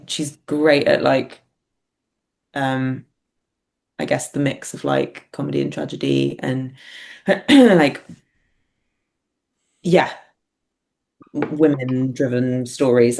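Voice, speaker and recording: A woman's voice: low voice, vocal fry, a little mellow. A woman in a casual interview, speaking informally and hesitantly as a guest, often dropping in "like". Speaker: interview guest, theatre writer and performer.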